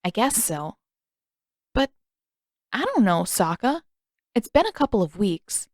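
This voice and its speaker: A woman voicing a gentle, reasonable young teenage boy in a story, mild and a little hesitant. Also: mid-range voice, big pitch swings, measured pace, dry recording, clean studio sound. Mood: mild, unsure.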